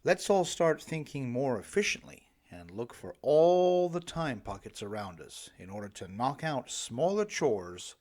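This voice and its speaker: A middle-aged man reading his own self-help audiobook in a dramatic, emphatic storytelling manner. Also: mid-range voice. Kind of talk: scripted self-help narration.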